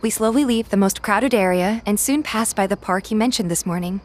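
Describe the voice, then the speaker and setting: A woman voicing a shy, soft-hearted young heroine in a game fan dub, earnest in both narration and dialogue. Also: medium pitch, brisk, dry recording, close to an everyday voice. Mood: reflective narration.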